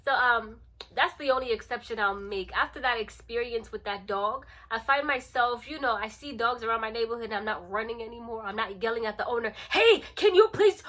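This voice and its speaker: A thirty-year-old woman chatting casually to her channel as host, in an animated, playful and emphatic way, repeating phrases for effect. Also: on the high side, brisk. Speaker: lifestyle vlogger and channel host. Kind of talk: casual chatty vlog to camera.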